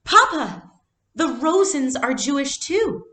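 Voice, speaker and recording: A woman's voice: high-pitched, very animated, slow pace, bright, forceful tone, echoey room, pitched above the performer's own voice, heavily acted. A woman reading a storybook aloud, voicing an earnest, curious and worried young girl.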